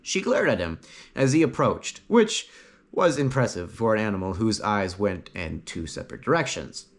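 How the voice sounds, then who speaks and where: A man reading a fantasy story as a narrator, with dramatic phrasing and frequent pauses. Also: fairly low pitch, big pitch swings. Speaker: audiobook narrator.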